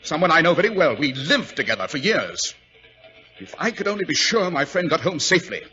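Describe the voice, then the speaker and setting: An actor playing a courteous, brooding English gentleman detective with an upper-class accent, crisp and pensive, in a 1940s radio drama. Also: on the high side, sing-song, brisk. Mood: brooding, uneasy. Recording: muffled vintage radio broadcast with music.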